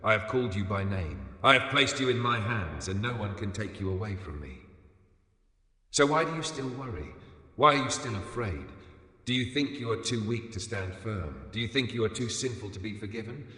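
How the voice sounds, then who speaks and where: A man giving a scripted religious narration as the voice of God, solemn and soothing, in short deliberate phrases. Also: fairly low pitch, expressive, bright, forceful tone. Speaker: devotional narrator speaking in the voice of God. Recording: echoey, long reverb over music. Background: music.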